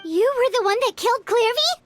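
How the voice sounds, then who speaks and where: A woman voicing a tiny, curious girlish companion creature in a video game, quick to interject with questions. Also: very high voice, expressive, faint music behind. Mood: puzzled.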